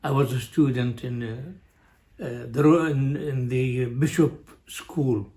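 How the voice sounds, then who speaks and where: An older man with an Arabic accent telling a family story in a halting way, full of pauses and restarts. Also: mid-range voice, fairly even intonation, warm tone. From Jordan. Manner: halting, many uhs and restarts, chuckles at own story. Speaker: family show guest, father. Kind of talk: storytelling, casual family chat.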